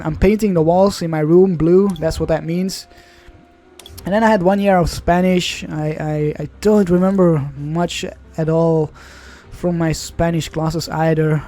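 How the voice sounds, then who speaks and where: A man's voice: on the high side, mellow. Chatty Dutch man commenting on a card game. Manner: chatty, rambling, self-correcting. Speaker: gaming channel host.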